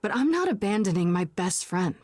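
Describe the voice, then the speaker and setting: A woman's voice: mid-range voice, big pitch swings, fairly quick, a crowd in the background, lightly acted. An actress voicing a headstrong, wry young woman newly made a muse in a musical video game, emphatic and often singing. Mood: wry, firm. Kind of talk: musical video game acting, much of it sung.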